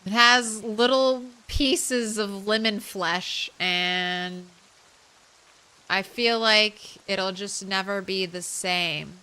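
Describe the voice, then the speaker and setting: A woman streamer chatting softly and casually with her viewers while playing a game, thanking them as she goes. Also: medium pitch, drawn-out words, clear, resonant tone, poor audio. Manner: soft, relaxed, thanks viewers. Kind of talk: casual live-stream chat while gaming.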